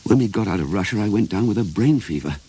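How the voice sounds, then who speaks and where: A beleaguered writer in a radio drama. His voice swings widely in pitch, with a wry, self-pitying and fretful manner. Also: lively intonation, fast talker, warm tone, slightly rough. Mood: wistful, wry. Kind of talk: radio play acting and reflective narration.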